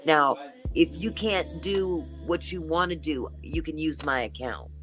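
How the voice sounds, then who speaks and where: A woman with a deep, raspy voice talking in a relaxed, chatty way as a guest in a casual conversation. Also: a little slow, over music. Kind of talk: casual chat.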